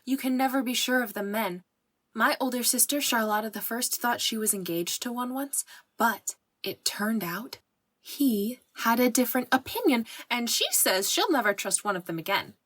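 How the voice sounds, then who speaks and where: A woman playing an excitable, gossipy young servant girl in an audiobook, breathless and earnest, with folksy, ungrammatical speech. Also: on the high side, moderate pace. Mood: anxious, fretful. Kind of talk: audiobook character acting.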